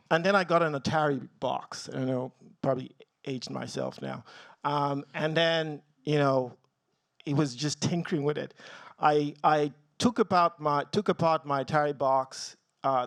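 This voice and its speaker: A man in his forties from Nigeria, telling his career story on a panel, earnest, with many pauses and ums, getting a little emotional. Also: a little high, steady tone, vocal fry, fairly bright tone, no echo, studio quality. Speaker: tech team leader on a panel.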